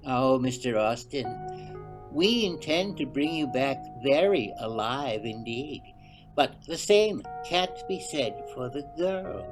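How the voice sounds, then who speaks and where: Someone doing a weird menacing agent man in a radio play, slow and theatrical, music underneath. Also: medium pitch, expressive, low-quality recording. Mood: coldly threatening. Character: eccentric, menacing male government agent, triumphant and strange.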